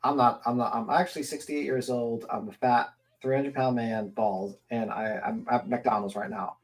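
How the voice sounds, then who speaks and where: A man's voice: medium pitch, steady tone, moderate pace, rough recording. A man in casual panel chat who jokes, dodges questions and rambles. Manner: joking, evasive, rambling. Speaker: panel guest.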